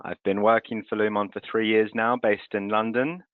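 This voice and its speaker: A man's voice: lowish voice, flat delivery, moderate pace, dry recording. A man from France presenting a webinar on a video call, explaining things in a fluent, businesslike way. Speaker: webinar host, currency transfer representative. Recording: tinny video call audio.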